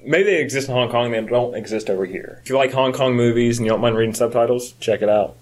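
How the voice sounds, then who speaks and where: A man's voice: medium pitch, fast talker. A man chatting casually about films as a podcast co-host. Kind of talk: casual film chat.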